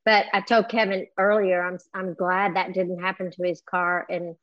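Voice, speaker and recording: A woman's voice: fairly low pitch, flat delivery, normal speed, dry recording, dull audio. A woman co-hosting a wellness podcast from home, chatting casually and gushing over her co-hosts. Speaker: podcast co-host.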